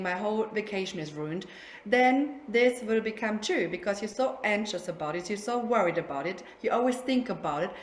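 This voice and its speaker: A woman's voice: medium pitch, a little hiss or hum. A German woman in her forties, a sleep consultant, chatting in a podcast interview and laughing now and then. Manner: chatty, laughs often, restarts words. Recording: roomy echo, faint ambience.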